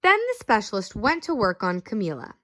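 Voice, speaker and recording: A woman reading a picture book aloud to children, expressive and asking her listeners questions. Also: medium pitch, fairly quick. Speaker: story reader.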